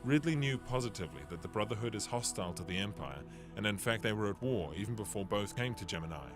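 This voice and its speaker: A man voicing a young male ship captain who narrates a game's story in an earnest, steady way, with game music behind. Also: fairly low pitch, fast talker, natural voice.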